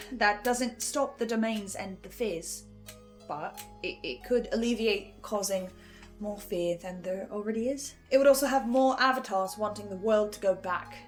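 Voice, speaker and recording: Woman doing a scripted review video, thoughtful w/ dramatic pauses, music quietly playing behind. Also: mid-range voice. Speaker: reviewer and host. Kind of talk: scripted video essay commentary.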